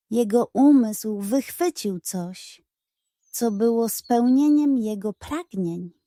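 A woman narrates a children's tale with expressive storytelling. Her voice is fairly high yet soft and mellow, and she takes her time with every phrase. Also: no room sound, studio quality.

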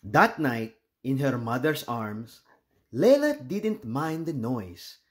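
A man from the Philippines reading a children's picture book aloud in an expressive, deliberate storytelling manner. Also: medium pitch.

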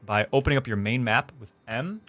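A young man hosting a gaming tutorial, explaining things clearly step by step from his streaming room. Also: medium pitch, brisk, fairly bright tone, faint music behind. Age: young adult. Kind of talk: tutorial narration. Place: small indoor room streaming setup.

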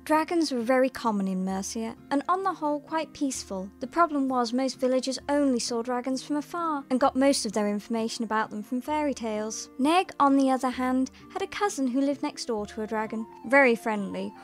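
A woman's voice: sits high, brisk, few pauses, soft background music. A woman narrating a scripted fantasy tale in a storybook style.

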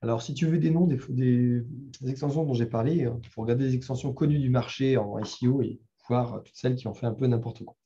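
A man's voice: medium pitch, fast talker, warm tone, dull audio. A middle-aged man with a French accent giving a conversational talk at a developer meetup, full of fillers and restarts. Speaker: meetup speaker. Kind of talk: live talk with screen demo.

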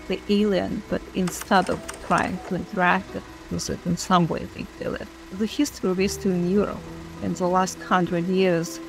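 Middle aged lady academic being interviewed, gravelly fry in her voice, music underneath. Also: lowish voice, poor audio. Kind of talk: unscripted interview commentary. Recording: music behind the voice.

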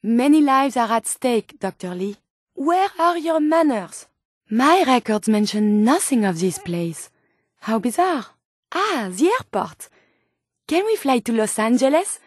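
An actress voicing a gentle, earnest female robot scientist turned human in a video game, with a French accent and formal, precise phrasing. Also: on the high side, very animated, unhurried, no room sound, clean studio sound. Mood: polite, curious. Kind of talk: video game character dialogue.

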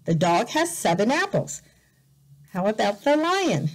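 A woman teacher reading a picture book aloud as a lesson for young learners, deliberate and expressive, repeating words carefully. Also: fairly high pitch. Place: indoor room, at a desk.

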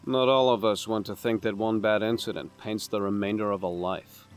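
A brooding assassin, played by a man, speaks in a lowish voice. He is sober and weary, grave in manner, in an audio drama with faint music behind him. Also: steady tone, moderate pace, clean tone, close to an everyday voice. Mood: earnest.

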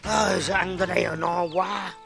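A man's voice: high voice, steady tone, fairly quick, creaky, music in the background. A man playing a demanding, self-satisfied rich glutton in a folk-tale drama, speaking pompously. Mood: smug, relishing. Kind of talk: theatrical folk-tale TV acting.

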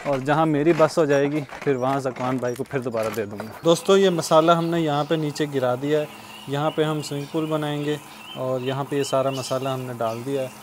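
A cheerful young man from Pakistan talks through his day in a relaxed vlog style, often breaking into light laughter. He speaks at a medium pitch into a clip-on mic outdoors. A faint hum of open-air ambience sits behind him. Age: young adult. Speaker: vlogger. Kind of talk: casual vlog narration. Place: outdoors, farmland and building site. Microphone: lapel mic.

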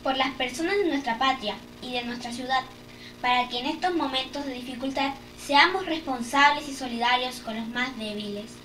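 A young woman with a fairly high voice recites prayer intentions formally. She sounds slightly away from the microphone in an echoey room, with faint noise behind her. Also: normal speed, sharp tone, distant mic. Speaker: lay reader. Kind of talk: reading prayer intentions aloud. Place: indoor room, seated at a table. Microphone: camera a short distance away.

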